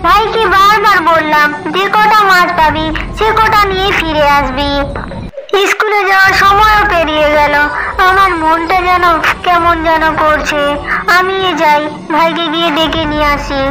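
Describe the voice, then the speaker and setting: A woman's voice: extremely high pitch, normal speed. A woman voicing a caring, anxious young village elder sister in a cartoon story. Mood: worried. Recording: noisy background ambience.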